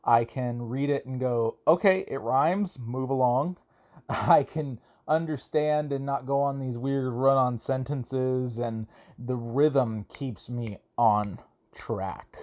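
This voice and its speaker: A man's voice: medium pitch, slow, darkish tone. Conversational man hosting a solo podcast. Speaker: podcast host. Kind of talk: casual solo podcast monologue.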